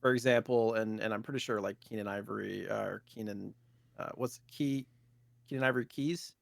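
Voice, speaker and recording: A man's voice: lowish voice, steady tone, moderate pace, gravelly, dry recording. Casual man with a dry, close sound. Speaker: podcast co-host. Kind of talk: casual chat about retro gaming news.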